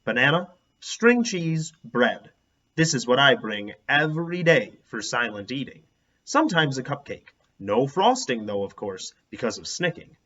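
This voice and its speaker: A man's voice: mid-range voice, big pitch swings, sharp tone, full character voice. Man reading as a fussy, bossy young schoolgirl. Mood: prim, alarmed. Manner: emphatic, drawn-out warnings. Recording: dull, lo-fi sound.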